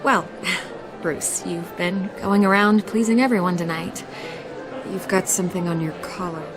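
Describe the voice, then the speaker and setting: Low-voiced, confident woman reporter, played by an actress. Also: fairly even intonation, measured pace, over music, close to an everyday voice. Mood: cool, teasing. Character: confident, probing female newspaper reporter. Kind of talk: video game character acting.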